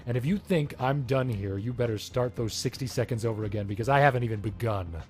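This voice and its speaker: An actor playing an arrogant, combative defense attorney in a legal drama, cocky and threatening. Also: male voice, medium pitch, fast, faint music behind, lightly acted. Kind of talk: legal soap drama dialogue.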